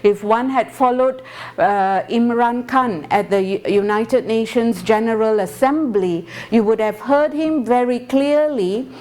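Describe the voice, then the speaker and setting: A woman's voice: on the high side, a little slow, darkish tone. A woman from Singapore with a Singaporean accent giving an explanatory, conversational public talk, earnest and fond of rhetorical questions. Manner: earnest, rhetorical questions, tag words like "right?". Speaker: guest speaker.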